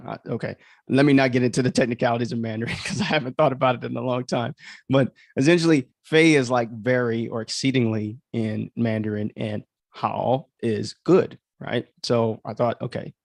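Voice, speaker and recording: A man hosts a casual livestream about his own writing. His voice is mid-pitched with plenty of vocal fry, recorded dry. He thinks out loud in a rambling, self-deprecating way. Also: no echo. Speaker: author and livestream host.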